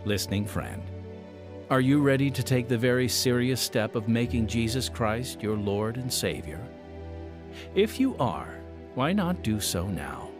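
An American man reads scripted ministry narration as a radio host. His pitch swings expressively through earnest, measured phrases, and music plays behind his voice. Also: very animated. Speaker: radio show host and announcer.

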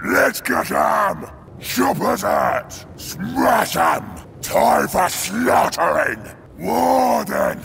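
A man voicing a brutish, bloodthirsty orc warrior for a video game, bellowing short, rough war cries, eager for battle. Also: high-pitched, expressive, extremely slow, fairly bright tone, shouting, a little hiss or hum, full character voice. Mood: bellowing, impatient. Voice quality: gravelly, rough.

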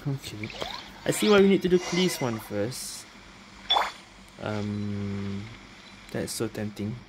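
A woman's voice: very deep voice, big pitch swings, slow pace, background noise. A woman doing casual let's-play commentary as she plays, muttering reactions with mild swearing. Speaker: gamer playing through a game quest.

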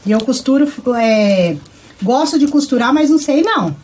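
A woman's voice: high-pitched, moderate pace. Chatty Brazilian woman sewing live. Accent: Brazilian Portuguese. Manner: chatty, lively, laughs often. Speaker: sewing instructor on a live stream. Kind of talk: casual live sewing demo. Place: sewing workroom with machines. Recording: noisy sewing room. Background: sewing machine noise.

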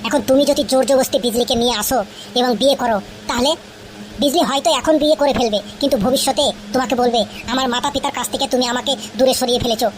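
Casting: persuasive young schemer for comedy cartoon played by a woman, high voice, rapid coaxing delivery. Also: background noise, lightly acted. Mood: reasoning. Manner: explaining, coaxing. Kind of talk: exaggerated comedy cartoon dialogue.

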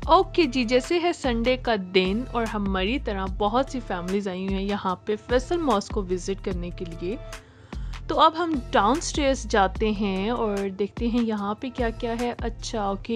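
A woman narrating a casual family day-out vlog in a cheerful way, often mixing English phrases into her speech. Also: on the high side. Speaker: vlogger.

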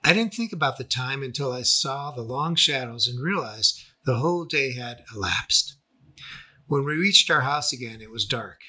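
A man reading a scripted first-person memoir as its narrator, with a husky voice. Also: mid-range voice, expressive, normal speed, sharp tone. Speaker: author and narrator.

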